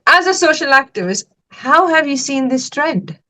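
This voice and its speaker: Sri lankan-raised woman hosting a podcast, slightly high voice, lots of likes and y'alls. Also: lively intonation, normal speed, sharp tone. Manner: chatty, lots of "like" and "y'all", emphatic asides. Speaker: podcast host. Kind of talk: casual podcast chat.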